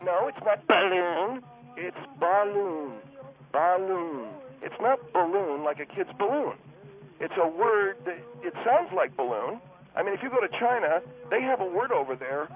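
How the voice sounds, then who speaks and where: A man voicing an excitable, touchy, self-justifying husband in a comic radio act, over a tinny phone line. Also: high voice, fairly quick, soft background music. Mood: pompous, flustered. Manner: indignant, blustering, self-justifying. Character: excitable, touchy husband attempting a hot-steel walk.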